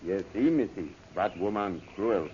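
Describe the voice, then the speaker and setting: Loyal cautious jungle guide, very low voice, clipped pidgin English, old radio adventure, muffled recording. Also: male voice, measured pace, dark tone, a little hiss or hum. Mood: wary. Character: loyal, cautious native guide guarding a young woman. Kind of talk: 1930s radio serial acting.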